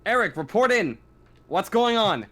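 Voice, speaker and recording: A man's voice: high voice, big pitch swings, a little slow, pushed and bright, pitched above the performer's own voice, exaggerated voice. A man voicing a menacing Russian soldier calling over the radio in a tabletop game. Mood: urgent.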